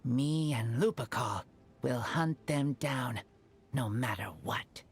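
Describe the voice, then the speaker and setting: An actor voicing a reserved young wild boy raised by wolves in a video game, soft and breathy, in terse halting phrases. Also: medium pitch. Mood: solemn, resolute. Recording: game audio with faint music.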